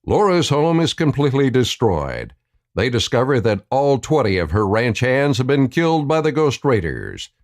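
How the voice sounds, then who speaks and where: A man's voice: mid-range voice, very animated, normal speed. A man narrating a scripted western radio play, telling the story in the present tense.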